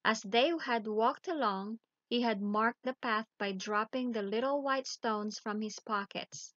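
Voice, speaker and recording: A woman storyteller reading a children's bedtime story aloud in a measured, deliberate way. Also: a little high, fairly bright tone, dry recording, muffled recording.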